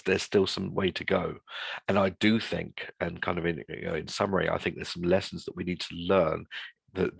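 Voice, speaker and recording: A man's voice: sits low, creaky, fairly bright tone, slightly rough, no room sound. A man giving an informal webinar presentation as a guest speaker, talking in a discursive way and often repeating his phrases.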